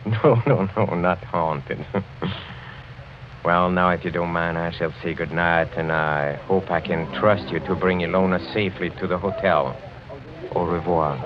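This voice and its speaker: An actor playing a suave, sinister older European count in 1940s radio style, courtly and insinuating, halting and chuckling softly. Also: male voice, low-pitched, steady tone, a crowd in the background. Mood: silkily menacing. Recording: muffled old 1940s radio recording, faint music.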